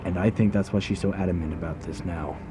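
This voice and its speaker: Man acting a low-voiced, earnest young soldier. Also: steady tone, fast, lightly acted. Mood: earnest, firm. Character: earnest young soldier, protective and quietly firm comrade. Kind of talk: dramatic audio drama acting. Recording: music playing behind the voice.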